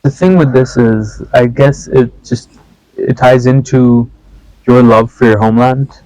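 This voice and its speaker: A man's voice: mid-range voice, a little mellow, no echo, low-quality recording. A man discussing a novel on a podcast, reasoning through his view step by step. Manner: measured, reasoning step by step, says "like" often. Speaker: podcast guest. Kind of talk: casual book discussion.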